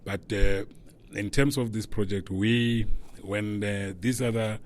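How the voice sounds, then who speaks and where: A man with a very deep voice answers interview questions as a community leader. He speaks haltingly, stopping often and filling gaps with hesitations. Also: steady tone, slight background noise. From South Africa. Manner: halting, with many pauses and fillers.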